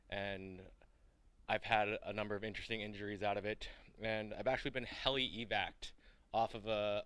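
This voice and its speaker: Man, software developer, lowish, bright and smooth, relaxed delivery. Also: no echo. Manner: relaxed, rambling, quick bursts. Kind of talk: casual staff introduction.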